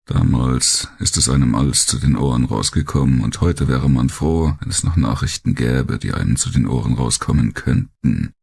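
A man voicing a blunt, cynical, world-weary male survivor of war in an audiobook, gravelly and coarse in his talk. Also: a bass voice, expressive, normal speed, few pauses, a little mellow, full character voice. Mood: bitter, wry. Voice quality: gravelly, husky. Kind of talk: audiobook character monologue.

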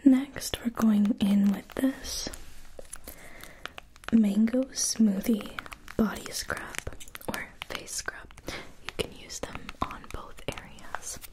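A young woman whispering gentle instructions in an ASMR spa roleplay, speaking slowly and softly to the listener. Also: mid-range voice, fairly even intonation, rough recording. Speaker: ASMR creator. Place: indoors, small room with a floral backdrop.

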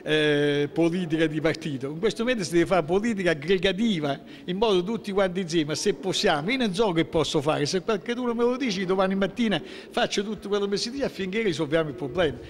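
A man in his sixties with a southern Lazio Italian accent, speaking emphatically and with grievance in an impromptu interview, halting and restarting. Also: sits high, long reverb tail. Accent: central-southern Italian, southern Lazio. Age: 60s. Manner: emphatic, aggrieved, halting with repeated rhetorical questions. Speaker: interviewee, local political figure. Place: indoor hallway of a public building. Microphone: handheld interview microphone held toward him.

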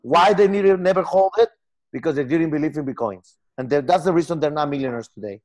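A man in his forties from Peru, speaking English with a Peruvian Spanish accent, earnest and halting in a casual video-call interview. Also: fairly high pitch, darkish tone. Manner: earnest, gestures a lot, halting non-native phrasing. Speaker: software company founder. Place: home room with lamp and armchair.

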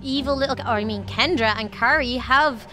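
A woman's voice: on the high side, normal speed, close to an everyday voice. A woman playing an anxious, bossy young princess and peacemaker in a children's game roleplay. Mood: nervous. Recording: music playing behind the voice.

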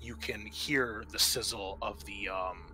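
A man with a bright, forceful voice narrates a tabletop game as game master, over clear background noise. His delivery is halting and conversational. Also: on the low side, steady tone, rough recording.

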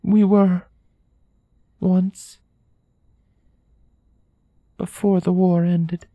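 A woman's voice: fairly low pitch, flat delivery, extremely slow, dry recording, phone-quality sound. A woman voicing a tender, conflicted adult unicorn with an earnest, formal, hesitant manner, in a fan fiction drama. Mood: sad, tender.

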